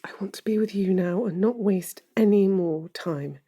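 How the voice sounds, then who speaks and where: Woman playing an earnest, breathy, lovelorn woman. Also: mid-range voice, moderate pace, warm tone, natural voice. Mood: pleading. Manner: earnest, intimate delivery. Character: earnest, lovelorn woman pleading for her love. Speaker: actor. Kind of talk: audio drama acting.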